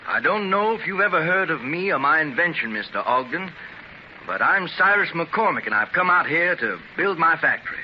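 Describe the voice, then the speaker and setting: An actor playing an earnest, excitable young inventor farmer in a 1930s radio drama. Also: male voice, on the high side, normal speed. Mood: firm. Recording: dull, hissy 1930s radio transcription.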